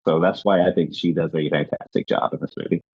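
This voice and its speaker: A man's voice: a bass voice, fairly even intonation, fast, darkish tone, husky, no echo. A man earnestly praising a film performance in casual podcast talk, full of fillers. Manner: earnest, enthusiastic, filler-heavy. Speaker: podcast guest. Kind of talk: casual movie discussion. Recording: dull, lo-fi mic.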